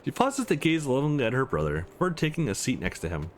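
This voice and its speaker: A man's voice: mid-range voice, very animated, quick pace, slight background noise. A man reading a visual novel aloud for a let's play, adding casual asides and correcting his own stumbles.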